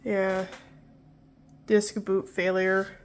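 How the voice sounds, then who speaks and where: A woman's voice: medium pitch, monotone, extremely slow, a little hiss or hum. A young woman with a nasal voice from pollen allergies, casually walking through old computer hardware and often correcting herself as she rambles. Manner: rambling, self-correcting, apologetic. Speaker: tech video host.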